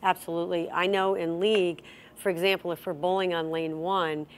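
A middle-aged woman with a lowish voice leads a bowling lesson as host. She explains things step by step and prompts her co-host with guiding questions. She sits at a table in a bowling center. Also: little pitch movement, a little fast, slight background noise. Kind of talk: instructional chat.

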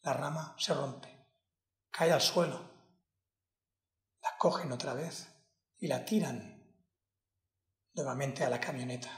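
A man acting an impassioned defense lawyer in a courtroom monologue, solemn and deliberate, speaking in short emphatic phrases. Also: mid-range voice, lightly acted. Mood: grave, anguished. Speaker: acting student. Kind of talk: dramatic courtroom monologue, acted to camera. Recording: echoey room.

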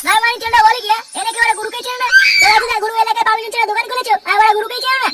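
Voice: very high-pitched, little pitch movement, rapid-fire, raised voice, recorded from a distance, heavy background noise. A performer voicing a pushy, crude little gang henchman in a comedy cartoon, badgering and swearing. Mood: menacing, mocking. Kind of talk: Bengali comedy cartoon voice acting.

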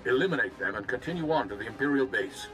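An actor playing a grave, commanding Jedi commander in a video game, measured and serious. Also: male voice, medium pitch, expressive, natural voice. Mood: urgent. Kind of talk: video game cutscene acting. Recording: music behind the voice.

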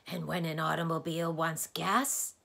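A woman's voice: low voice, fairly even intonation, moderate pace, gravelly, a bit bright, full character voice. A woman voicing a blustering, easily exasperated male doctor in a storybook, lecturing and quizzing emphatically. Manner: emphatic, questioning, declamatory.

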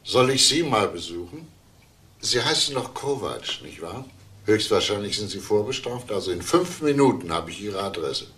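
An actor playing a patient, probing older police detective in a radio crime drama, calm and deliberate. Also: male voice, lowish voice. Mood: probing, coaxing.

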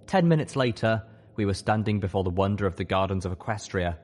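A man reading scripted first-person fiction as an audiobook narrator. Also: low voice.

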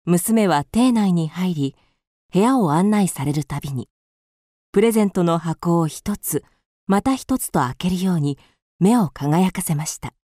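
A woman's voice: lowish voice, lively intonation, a little slow, warm tone, dry recording, studio quality. A woman narrating a radio drama from a script as its storyteller.

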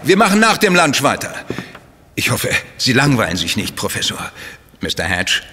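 Husky, courteous theatre director. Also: male voice, mid-range voice, huge pitch swings, moderate pace, pushed and bright, full character voice. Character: courteous theatre director addressing cast and guests. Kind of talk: audio drama acting. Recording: reverberant hall.

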